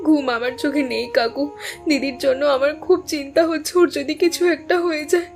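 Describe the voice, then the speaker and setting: A woman's voice: high voice, brisk, creaky, darkish tone, faint music behind. A woman playing an earnest, anxious young village sister in a cartoon drama, speaking in an emotional, pleading way. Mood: anxious, tearful.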